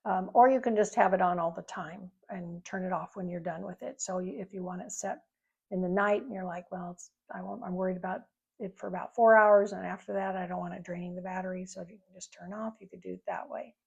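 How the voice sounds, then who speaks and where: A woman's voice: fairly low pitch, steady tone, fairly quick, vocal fry, a little mellow, breathy edge, muffled recording. A woman giving a casual, informal product review, explaining features in a rambling, conversational way.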